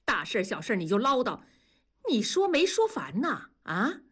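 A woman doing a grumpy, animated retired husband. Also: mid-range voice, normal speed, slightly rough. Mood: exasperated. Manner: grumbling, colloquial northern Chinese speech. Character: grumpy, restless retired husband, stubborn and easily flustered.

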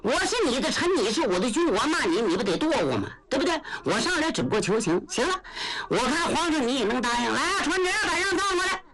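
A woman's voice: sits high, sing-song, fast talker, fairly bright tone, pitched above the performer's own voice, rough recording. A woman voicing a blunt, muddle-headed old male general who talks back brashly in a traditional storytelling performance. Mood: pleading.

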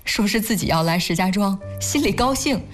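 An actress playing a caring, soft-hearted wife devoted to charity in a sentimental audio drama, speaking earnestly in a slightly husky voice. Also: female voice, medium pitch, lively intonation, normal speed, faint music behind, natural voice. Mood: sorrowful.

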